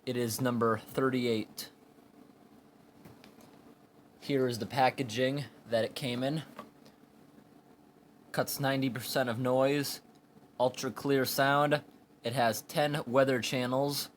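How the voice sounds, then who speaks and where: Male product reviewer, average pitch, plain and halting explainer delivery, amateur indoor sound with slight noise. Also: steady tone. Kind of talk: informal hands-on product review. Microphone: handheld camera or phone microphone. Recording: amateur recording with background room noise.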